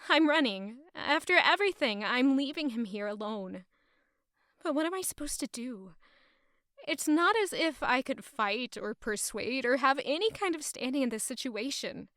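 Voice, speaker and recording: A woman's voice: on the high side, fairly bright tone, no room sound, studio quality. A woman playing an anxious, self-doubting young noblewoman in an audio drama, with a dramatic inward delivery. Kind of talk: audio drama narration and acting.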